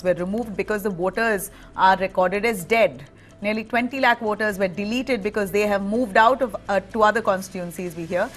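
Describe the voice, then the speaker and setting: A woman with an Indian accent reading a live news bulletin as anchor, in a clear, firm delivery. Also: medium pitch.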